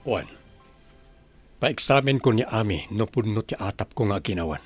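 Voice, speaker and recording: A man's voice: on the low side, lively intonation, normal speed, slightly rough, no room sound, faint music behind. A man playing a stern, controlling rich master of a house in a melodramatic audio drama. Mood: firm.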